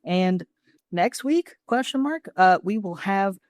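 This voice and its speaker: A woman's voice: mid-range voice, animated, slow pace, dry recording, studio quality. An American woman co-hosting a podcast in casual, comedic chat with an irreverent, slangy way of talking. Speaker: podcast co-host.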